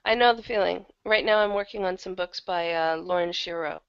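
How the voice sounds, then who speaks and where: A woman's voice: on the low side, a little fast, clear, resonant tone, no echo, dull audio. A woman chatting casually as an interview guest, talking in a loose, conversational way and sometimes correcting herself. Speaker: interview guest, audio drama producer and audiobook narrator.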